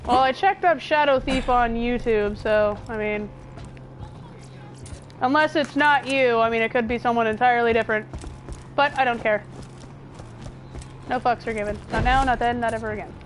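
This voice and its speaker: A woman's voice: a little high, moderate pace, pauses often, slight background noise, poor audio. Young woman swearing through a game stream. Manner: profane, rambling, chatting to viewers. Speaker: livestreamer. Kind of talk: casual gaming livestream chat.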